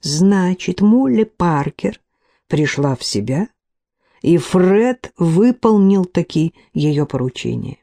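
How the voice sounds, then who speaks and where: A woman narrates a detective novel aloud at a slow, deliberate pace. Her voice sits a little low and sounds mellow and warm. She reads every character's dialogue herself without changing her own voice much. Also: expressive. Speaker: audiobook narrator. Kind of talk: scripted audiobook narration.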